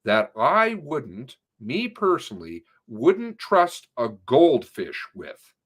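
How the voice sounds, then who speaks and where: A man reads conversational testimony aloud at an unhurried pace. His pitch sits in a middle range but moves widely, and he leans on certain words to stress them. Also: sing-song, fairly bright tone, husky. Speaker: narrator reading an interview transcript aloud. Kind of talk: read-aloud of spoken interview transcript with commentary.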